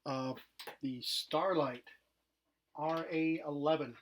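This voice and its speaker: A man's voice: fairly high pitch, fairly even intonation, slow pace, a little hiss or hum. A man explaining and demonstrating equipment casually, in a plain, somewhat hesitant, explanatory way. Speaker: vintage tech demonstrator. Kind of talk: casual unscripted product demonstration.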